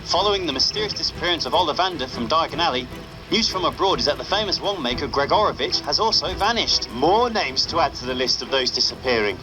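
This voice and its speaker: An actor playing a secret radio host who reads the news with dry sarcasm. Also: male voice, on the high side, lively intonation, fast talker, lightly acted, rough recording. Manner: wry, sarcastic newsreader. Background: game music.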